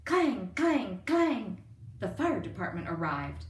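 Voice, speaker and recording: Expressive, animated woman storyteller, roomy sound. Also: medium pitch, slow. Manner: expressive, emphatic read-aloud delivery. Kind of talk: children's picture-book narration. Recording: roomy, distant microphone.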